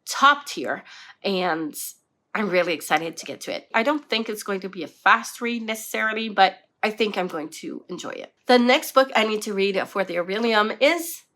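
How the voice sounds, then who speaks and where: A woman's voice: medium pitch, lively intonation, moderate pace, fairly bright tone. A young woman from French Canada, a native French speaker with a French Canadian accent, chatting casually about her reading list in a rambling way full of fillers. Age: young adult. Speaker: book vlogger. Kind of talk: casual book chat to camera.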